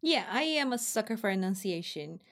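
Woman, podcast guest; mid-range voice, warm enthusiasm, easy laughter. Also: mid-range voice, very animated, normal speed. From Brazil. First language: Portuguese. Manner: thoughtful, enthusiastic, laughs often. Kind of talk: casual podcast chat.

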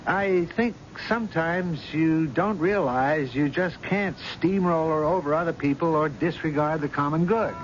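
An actor playing a frank, reasonable lawyer and family friend who reasons and gently admonishes, in a radio drama. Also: male voice, on the high side, unhurried, hardly any pauses, natural voice. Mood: admonishing. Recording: muffled old radio broadcast, faint hiss.

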